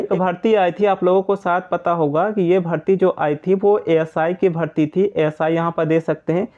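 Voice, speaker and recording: A high-pitched man explains updates informally to his viewers. He repeats his points in a reassuring way. The audio is narrow and tinny, like a phone line. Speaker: exam news presenter.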